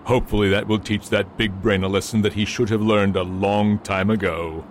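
An actor playing a gruff, dry companion in an amateur radio drama. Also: male voice, lowish voice, fairly even intonation, moderate pace, slight background noise, natural voice. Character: gruff former thief listener, dry.

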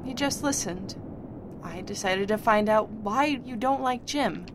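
A woman's voice: mid-range voice, unhurried, lightly acted. A woman playing a determined young woman who firmly defends her fiancé to her mother, in an amateur radio drama. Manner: firm, patient, defiant. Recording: noisy recording with steady hiss.

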